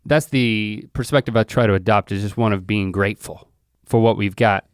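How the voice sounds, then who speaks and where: An easygoing man in a casual chat, talking in a relaxed, philosophical way. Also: fairly low pitch, moderate pace, smooth, no room sound, clean studio sound.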